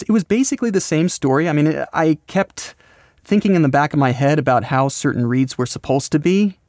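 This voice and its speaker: An American man being interviewed, explaining his creative work in a casual, rambling, halting conversational way. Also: on the high side, creaky, darkish tone, dry recording, clean studio sound. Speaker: podcast host, voice actor and scriptwriter being interviewed.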